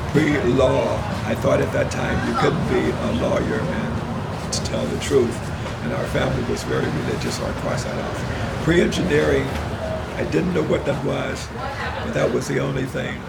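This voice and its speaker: An older man speaks in a deeply gravelly voice whose pitch rises and falls a great deal. He tells his story in a measured, explanatory way, with a slight stutter. Loud noise hums under the recording. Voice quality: stutters, with pauses and word swaps. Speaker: minister and retired engineer. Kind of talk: interview storytelling.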